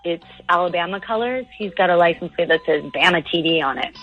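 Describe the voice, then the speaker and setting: Husky female radio caller, music underneath. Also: fairly low pitch, brisk, lo-fi sound. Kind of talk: candid conversation on a radio call-in.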